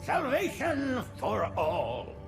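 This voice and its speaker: A man's voice: wildly swooping pitch, drawn-out words, loud music behind the voice, full character voice. A man voicing a frail, ancient alien priest in a video game, thin-voiced and fervently pious, preaching theatrically. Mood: reverent. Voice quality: high, creaky, thin. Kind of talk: cinematic video game acting.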